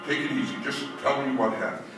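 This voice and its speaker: A plain-spoken, skeptical street cop played by a man, gruff and colloquial in radio drama style. The mid-pitched voice echoes heavily from far off, with music behind it. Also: fast talker, natural voice. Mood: calming. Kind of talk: old-time radio drama acting.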